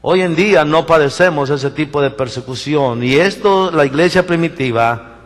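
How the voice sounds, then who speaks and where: Mexican preacher in an echoing church. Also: male voice, on the high side, moderate pace. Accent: Mexican Spanish. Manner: animated storytelling, acts out quoted voices, many restarts. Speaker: pastor. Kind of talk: sermon with personal stories. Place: church sanctuary.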